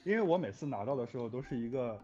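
A man's voice: mid-range voice, warm tone. Muffled lo-fi audio w music behind, guy chatting earnestly about his composing work, kinda hesitant. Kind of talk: casual reflective interview chat.

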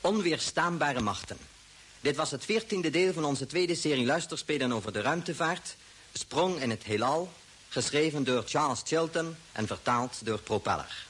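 A man's voice: on the high side, expressive, moderate pace, a bit bright, husky. A man formally reading a scripted announcement as the announcer of a vintage radio drama. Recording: faintly hissy vintage radio recording.

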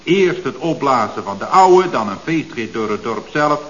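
A man's voice: medium pitch, animated, moderate pace, fairly bright tone, a little hiss or hum, lightly acted. An actor playing an earnest, preachy male employee in a vintage Dutch radio comedy, speaking with pompous phrasing and some hesitation. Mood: explanatory. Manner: pompous, hesitant when challenged. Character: earnest, preachy employee lecturing his boss. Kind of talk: late 1960s Dutch radio comedy acting. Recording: dull old radio recording.

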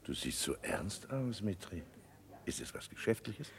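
An actor playing an affable but evasive governor, a high official, in a classic German radio play. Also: male voice, very animated, a little slow, creaky, a crowd in the background, heavily acted. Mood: guarded, obliging. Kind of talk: mid-century German radio play acting.

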